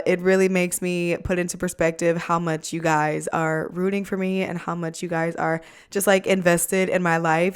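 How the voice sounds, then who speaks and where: A woman's voice: fairly low pitch, smooth. A young woman in her twenties hosting a podcast in casual chat, nasal and congested from a cold, talking close into a desk mic at home. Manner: chatty, lots of fillers and "like". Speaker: podcast host. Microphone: USB desk microphone up close.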